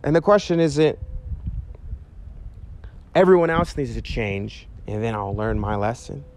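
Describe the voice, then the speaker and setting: A man in his thirties preaching outdoors to a camera, earnest and animated, talking casually with fillers. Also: mid-range voice, unhurried. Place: outdoors by a chain-link fence on a sunny day. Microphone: handheld mic, medium camera shot.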